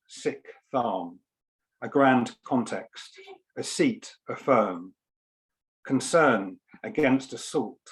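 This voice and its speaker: A man with a mid-pitched voice and a slow pace, speaking as a poet at an online literary event. His delivery is measured and deliberate, broken into short phrases with frequent pauses. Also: poor audio. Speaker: poet and co-author at a book launch. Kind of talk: informal explanation and poetry reading. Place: online event, on a video call.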